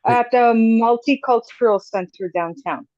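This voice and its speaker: Slow-talking woman on a dull video call. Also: fairly high pitch, dark tone, dry recording. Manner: brief, agreeable replies with frequent laughs. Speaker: caller getting a reading. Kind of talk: casual conversation on a video call.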